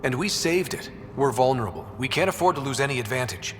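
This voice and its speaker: An actor playing a wry, decisive human explorer and leader in a video game. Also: male voice, medium pitch, very animated, fast talker, a bit bright, long reverb tail, slight background noise. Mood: stern. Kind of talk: dramatic video game dialogue.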